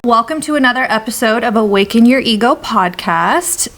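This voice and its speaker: Upbeat woman podcast host, higher voice. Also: expressive, normal speed, over music. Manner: upbeat and eager. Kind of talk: reading a guest introduction.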